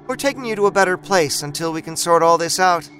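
Man voicing a soft, high-pitched reassuring woman. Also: lively intonation, fast. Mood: soothing. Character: gentle, soothing adult woman social worker. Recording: music bed behind voice.

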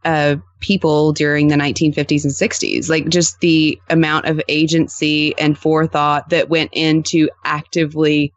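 A woman's voice: low voice, moderate pace, hardly any pauses, no room sound, muffled recording. A woman with an American accent that slips into East Texas Southern, chatting casually and acting out her anecdotes as a podcast guest. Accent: American, East Texas-style Southern that slips in. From Louisiana, US. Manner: animated storyteller who acts out quoted dialogue. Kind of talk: casual chat, telling anecdotes.

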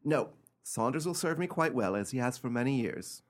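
A man acting in a radio play as a nervous, imperious gentleman living in terror, theatrical and fretful. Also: animated, normal speed, lightly acted. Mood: fretful, stubborn. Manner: theatrical, high-strung, fretful.

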